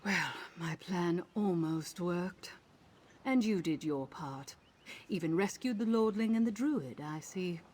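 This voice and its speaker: A commanding pirate captain with a slightly low voice and a wry, dramatic delivery. She sounds like a vengeful video game mercenary, with clear noise behind her. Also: animated, slow, darkish tone. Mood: wry, rueful. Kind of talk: video game character acting.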